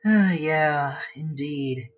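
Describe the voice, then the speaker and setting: A man chatting casually and rambling half to himself as he hosts a live drawing stream, with a husky voice. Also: on the high side, drawn-out words, muffled recording. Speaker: art livestream host. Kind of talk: casual chat while sketching.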